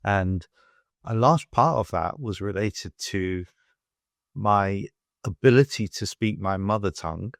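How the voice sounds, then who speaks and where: A middle-aged British man with a very low, deep voice hosts a podcast conversation. He speaks softly and hesitantly, often restarting his sentences. The sound is dry and close to the mic. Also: slow, vocal fry, no room sound. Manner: soft-spoken, hesitant, many fillers and restarts. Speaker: podcast host. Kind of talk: casual interview chat.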